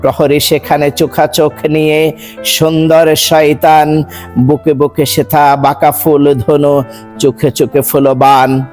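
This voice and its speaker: Slow, higher-pitched man reciting poetry. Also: level tone, darkish tone, faint music behind. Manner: measured, declamatory, rhythmic delivery. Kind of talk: dramatic recitation of a Bengali poem.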